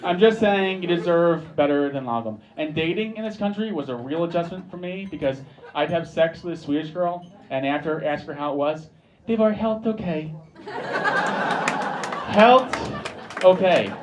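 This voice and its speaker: A man's voice: on the high side, lively intonation, normal speed, heavy background noise. Wry American man doing stand-up. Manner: dry, wry delivery with mock-sincere asides. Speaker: stand-up comedian. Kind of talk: stand-up comedy set. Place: pub comedy stage with a live audience. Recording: live pub recording, crowd laughing. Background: audience laughter.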